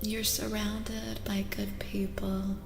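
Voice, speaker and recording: A woman giving a soft-spoken ASMR tarot reading as a streamer, often slipping into whispers, soothing and reassuring as she slowly repeats phrases. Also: mid-range voice, little pitch movement. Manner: soothing, reassuring, slow repetitions. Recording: reverberant echo with music behind. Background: music.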